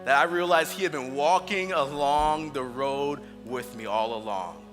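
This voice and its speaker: A pastor in his thirties sharing his story in an Easter sermon, earnest and personal, slowing down on key phrases. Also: medium pitch. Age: 30s. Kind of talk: Easter sermon, shared testimony. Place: large church auditorium. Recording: reverberant hall with music underneath.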